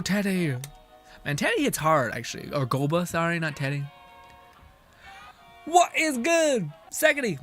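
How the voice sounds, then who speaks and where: A man's voice: fairly high pitch, a bit bright. A young man streaming a game, chatting casually with his viewers and reading their messages aloud, laughing and swearing often.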